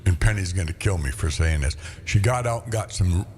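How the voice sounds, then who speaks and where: A man's voice: low voice, animated, fairly quick, darkish tone. A man hosting a local radio talk show from a studio, chatting casually and teasing his guest. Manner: chatty, teasing, mimics an auctioneer's chant. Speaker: radio host.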